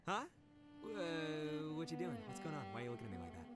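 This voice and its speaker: A brash swaggering gang boss. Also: male voice, fairly high pitch, very animated, soft background music. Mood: groggy, puzzled. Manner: loud, cocky, drawn-out.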